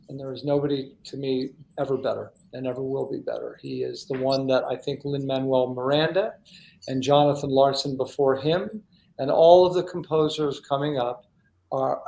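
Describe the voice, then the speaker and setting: A man's voice: mid-range voice, vocal fry, a little mellow. A man hosting a talk show in a casual, heartfelt way, speaking effusively and with emphasis. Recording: roomy, echoey sound.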